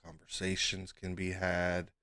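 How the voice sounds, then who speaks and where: A man's voice: low-pitched, completely flat delivery, measured pace, sharp tone, no echo. A man acting as game master, casually narrating a tabletop game and talking with the players.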